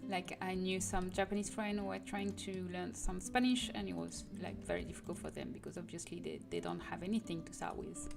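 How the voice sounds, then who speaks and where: A woman's voice: lowish voice, fairly even intonation, fairly quick, creaky, hardly any pauses, over music. A woman whose first language is Portuguese, chatting casually on a livestream as she works, thinking aloud and correcting herself as she goes. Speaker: streamer making game content. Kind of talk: casual livestream chat while working.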